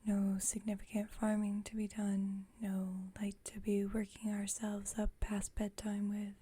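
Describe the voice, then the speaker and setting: A woman playing a calm, dryly witty, centuries-old female vampire roommate in an ASMR roleplay, speaking in a soft breathy whisper with gentle, slightly formal phrasing. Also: medium pitch.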